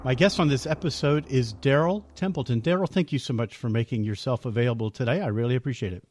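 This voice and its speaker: A man's voice: medium pitch, fast talker, a little mellow, slight background noise. A man hosting a faith podcast, giving an earnest, upbeat scripted introduction and then interviewing a guest. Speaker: podcast host.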